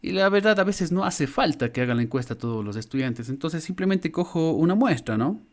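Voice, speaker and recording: A man's voice: fairly high pitch, lively intonation, fairly quick. Latin American man teaching informally online. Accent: Latin American Spanish. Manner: informal, frequent check-in tags. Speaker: university lecturer. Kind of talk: explanatory online lesson. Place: indoor room, online class.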